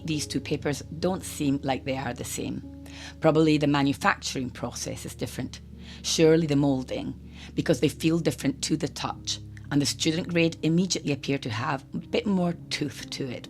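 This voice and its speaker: A woman hosting a watercolour channel, talking in a hoarse, croaky voice from a cold as she narrates a chatty tutorial voice-over. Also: deep voice. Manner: chatty, explanatory, lightly humorous. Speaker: art channel host. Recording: voice-over with guitar music behind.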